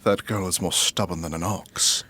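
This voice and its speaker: A husky, courtly, ambitious royal. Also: male voice, low voice, fairly even intonation, bright, forceful tone, no echo, exaggerated voice. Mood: grave, reasoning. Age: middle-aged. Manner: formal, measured, pompous. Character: smooth, courtly, ambitious middle-aged royal brother, devoted lover. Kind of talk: audio drama acting.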